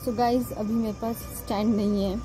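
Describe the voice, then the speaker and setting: A woman's voice: fairly high pitch, level tone, moderate pace, over loud music, low-quality recording. A young woman with an Indian accent, chatting casually to camera in a vlog as a startup founder, mixing Hindi and English. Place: outdoor garden cafe. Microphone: phone camera held by hand. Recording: outdoor phone audio with background noise.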